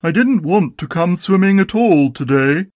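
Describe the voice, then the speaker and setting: A storybook reader voicing a gloomy, dignified male donkey with a deadpan, ponderous, sarcastic manner. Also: medium pitch, normal speed, dry recording, clean studio sound, voice pushed up, lightly acted. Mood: cold, dignified. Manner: deadpan, ponderous, coldly sardonic. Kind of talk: storybook character voice.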